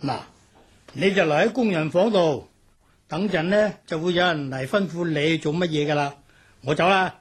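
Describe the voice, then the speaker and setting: A man playing a brisk errand man who gives instructions in a radio drama. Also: on the high side, husky. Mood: brisk instructing. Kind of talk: Cantonese radio drama acting.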